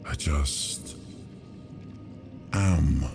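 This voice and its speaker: An ancient, weary king from a fantasy game cutscene, brooding and solemn. His voice sits extremely low and moves slowly. It rings in a long hall reverb. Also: full character voice. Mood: weary, lost. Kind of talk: dramatic video game cutscene acting and narration. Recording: echoing hall reverb under prominent music. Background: music.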